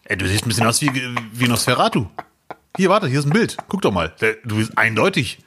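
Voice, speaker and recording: A man's voice: mid-range voice, sing-song, fast, background noise. A man co-hosting a podcast in casual chat, dropping dry jokes and short agreeing replies. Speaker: podcast co-host.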